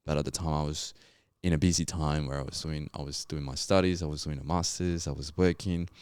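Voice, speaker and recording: A man's voice: rumbling low, steady tone, fairly quick, darkish tone, clear, resonant tone, dry recording. A young man from Colombia with a Colombian Spanish accent, a podcast guest telling his story in a reflective, casual way with lots of fillers. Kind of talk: casual interview chat.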